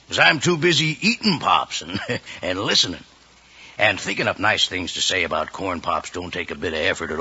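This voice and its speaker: A man's voice: fairly low pitch, pushed and bright, slightly rough. A man announcing a sponsor's advert in hearty, folksy cowboy patter, 1950s radio style. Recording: dull old radio recording.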